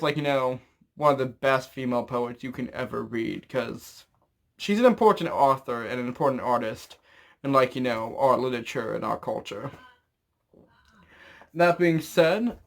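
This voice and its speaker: A man's voice: medium pitch, animated, normal speed. A young adult man talking casually to an audience in a rambling, self-deprecating way. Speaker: vlogger. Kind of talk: casual chat to camera. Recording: clear background noise.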